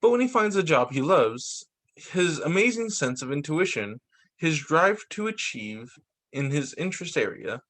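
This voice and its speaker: High-pitched, lively man reading aloud. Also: a little slow. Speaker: teacher reading picture books. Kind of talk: read-aloud story time for children.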